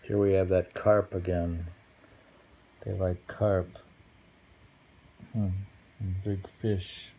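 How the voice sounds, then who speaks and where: A man reading haiku aloud casually, musing and halting, murmuring to himself between poems. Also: sits low, level tone, dark tone, rough recording. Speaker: reader of a haiku book. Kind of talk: casual poetry read-aloud with musing commentary.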